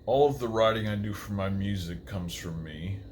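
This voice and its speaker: An undead reaper and metal musician, played by a man, speaks in a low voice. He is brooding yet polite, answering as a talk show guest in an earnest, measured way. A light ambient noise sits underneath. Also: darkish tone, close to an everyday voice. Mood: candid, brooding. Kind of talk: interview answers.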